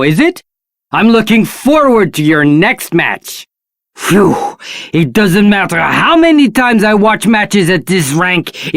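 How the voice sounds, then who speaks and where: Animated British arena attendant. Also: female voice, fairly high pitch, dry recording, studio quality. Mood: admiring. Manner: polite, theatrical. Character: excitable, courteous battle arena attendant. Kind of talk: video game character acting.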